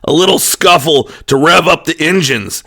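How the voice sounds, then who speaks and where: Brash pirate shipwright, male actor, higher voice, gravelly, boisterous, hungry for a scrap, anime audio drama. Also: normal speed, bright, forceful tone. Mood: hyped up. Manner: boisterous, cocky.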